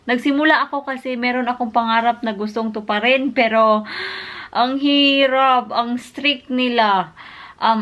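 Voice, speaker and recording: Candid Filipina vlogger. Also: female voice, on the high side, a bit bright, highs cut off. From Philippines. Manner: candid, openly disappointed and venting. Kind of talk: casual vlog chat, mixing Filipino and English.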